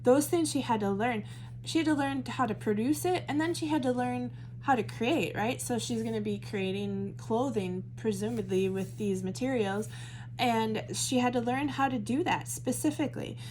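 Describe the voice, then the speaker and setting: A woman's voice: on the high side, normal speed, a little mellow, a little hiss or hum, poor audio. A Midwestern American woman leading a Bible study, talking casually and thinking aloud with fillers and self-corrections. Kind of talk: casual teaching talk to camera.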